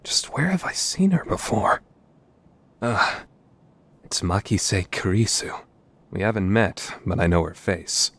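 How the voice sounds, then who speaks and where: Male actor as theatrical young anime schemer, pompous, paranoid, very animated pitch, background music. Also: takes it slowly, pauses often, exaggerated voice. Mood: tense narration. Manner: pompous, dramatic. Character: paranoid, theatrical young self-styled mad scientist. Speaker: amateur voice actor. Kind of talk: dramatic fandub performance with first-person narration.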